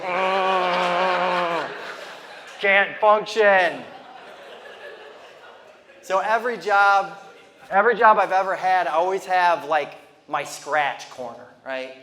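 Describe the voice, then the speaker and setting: Lisping thirty-something stand-up comic. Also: male voice, high-pitched, a bit bright, long reverb tail, a little hiss or hum. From Toledo, Ohio, US. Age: 30s. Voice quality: lisp. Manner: loose, rambling delivery with quick asides to the crowd. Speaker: stand-up comedian. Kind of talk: stand-up comedy set. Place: comedy venue with a live audience.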